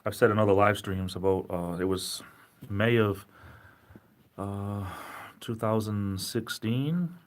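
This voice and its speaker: A man's voice: fairly low pitch, takes it slowly, long pauses. A man from Rhode Island with a Rhode Island accent, chatting casually and rambling self-deprecatingly as he hosts a livestream from home. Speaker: livestream host.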